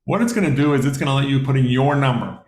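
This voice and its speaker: A man's voice: mid-range voice, fast talker, darkish tone. A man in his thirties giving a casual finance workshop, talking conversationally with fillers and questions to the audience. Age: 30s. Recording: roomy echo.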